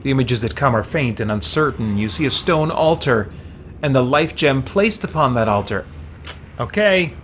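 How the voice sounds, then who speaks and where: A man's voice: mid-range voice, lively intonation, normal speed, a bit bright. Man streaming a game, background noise behind him. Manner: casual, thinking aloud. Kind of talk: live stream chat and reading game text aloud.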